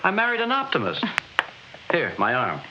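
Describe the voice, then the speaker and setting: An actor playing a young, folksy, excitable honeymooning husband in a halting, colloquial way, in 1960s radio drama style. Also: male voice, a little high. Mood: wry, casual. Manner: halting, repeats words, colloquial. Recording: dull, noisy old radio recording.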